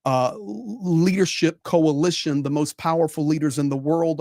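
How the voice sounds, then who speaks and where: A man's voice: on the high side, a little mellow, no echo, studio quality. A man giving conversational live political commentary as a panel guest, repeating phrases for emphasis.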